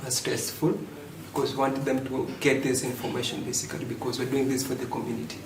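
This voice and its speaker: A man shares his thoughts in a group meeting, pausing often between short phrases. His medium-pitched voice is recorded at a distance, with room echo around it. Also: level tone. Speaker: writer. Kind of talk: reflective talk in a group meeting. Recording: echoey room, distant mic, clear background noise.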